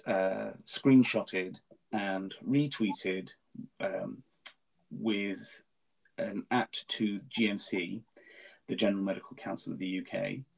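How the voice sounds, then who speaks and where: A man's voice: low voice, vocal fry, husky, poor audio. A man whose first language is Farsi, hosting a casual podcast interview, talking with frequent ums and restarts. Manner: hesitant, many ums and restarts. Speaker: podcast host.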